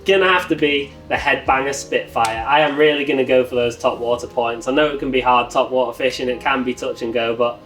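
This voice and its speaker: A man from Northwest England answering interview questions, relaxed and candid. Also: mid-range voice, fairly quick, few pauses. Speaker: angler competitor. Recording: distant mic, faint music behind.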